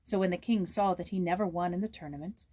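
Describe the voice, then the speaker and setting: A woman narrating a children's story aloud in a measured, expressive storytelling manner. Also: on the low side. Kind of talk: storybook read-aloud with informal introduction.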